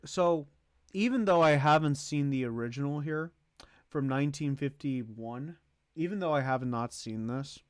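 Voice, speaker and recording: A man giving casual livestream commentary as host, thinking aloud in a halting way as he ranks things. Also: on the high side, smooth, no room sound, studio quality. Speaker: streamer hosting a movie tier list.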